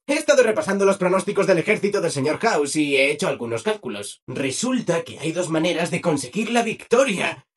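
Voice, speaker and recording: High, animated, relentlessly cheerful robot. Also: male voice, husky. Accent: Castilian Spanish. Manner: chirpy, over-eager, mock-polite. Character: relentlessly cheerful, eager-to-please male robot assistant. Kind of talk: scripted video game character acting.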